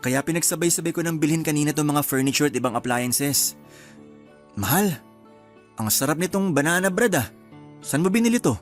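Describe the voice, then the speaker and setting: A man with a Filipino accent voicing a relaxed, cheerful young husband in a radio drama. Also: a little high, brisk, long pauses, soft background music, lightly acted. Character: young husband, hardworking and easygoing.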